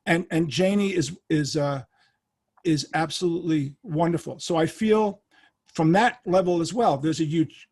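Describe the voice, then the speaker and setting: A man's voice: a little high, normal speed, a little mellow, slightly rough. An older man hosting an interview podcast, chatting eagerly and leading with his own anecdotes. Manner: eager, talkative, leads with anecdotes. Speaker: podcast host. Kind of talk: casual interview chat.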